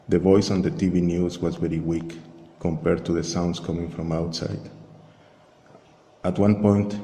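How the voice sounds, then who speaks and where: A man reading a scripted first-person story in a measured, monotone, reflective way. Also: low voice. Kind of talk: slow scripted first-person narration, spoken-word art piece. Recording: echoey long reverb, faint hiss.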